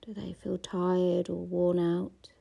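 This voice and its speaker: Woman meditation guide: dark, gravelly voice, soft and half-whispered, unhurried. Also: on the low side, level tone. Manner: slow, soft-spoken, often half-whispered.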